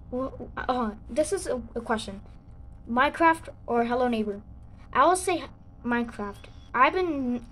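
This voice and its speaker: Chatty kid with a sore throat. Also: female voice, on the high side, slight background noise. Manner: rambling, halting, with excited outbursts. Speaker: gaming channel host. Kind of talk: casual gameplay commentary.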